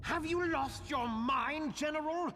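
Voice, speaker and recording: An actor playing a stern, outraged syndicate official in a video game, commanding and formal. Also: male voice, very high-pitched, unhurried, background noise. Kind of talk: dramatic video game acting. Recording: reverberant hall.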